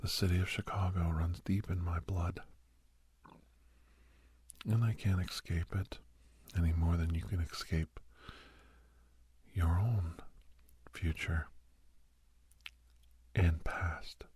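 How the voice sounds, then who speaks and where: A man with an American accent playing a kindly, gentle doctor who counsels a young werewolf in a breathy, whispered ASMR roleplay. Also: deep voice, fairly even intonation, dry recording, heavily acted. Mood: reflective, confiding. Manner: gentle, reflective, slow and halting.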